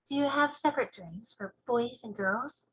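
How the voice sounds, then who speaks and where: A woman voicing a curious, polite, excitable young girl in a children's storybook. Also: on the high side, moderate pace, slightly rough, rough recording.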